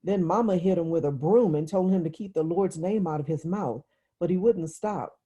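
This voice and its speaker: A woman's voice: fairly low pitch, normal speed, dark tone, husky, lo-fi sound, voice pushed up. A woman reading the part of an upset, exasperated young girl who confides in her sister with plain, childlike telling, in a novel. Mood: agitated recounting. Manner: reluctant, plain childlike recounting. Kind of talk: literary audiobook dialogue.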